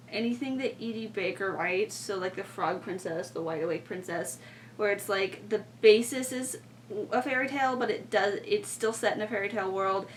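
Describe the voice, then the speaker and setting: A young woman explaining things in a casual, rambling way, with plenty of informal filler words. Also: medium pitch. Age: young adult. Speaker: podcast co-host and student writer. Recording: distant mic, faint background noise.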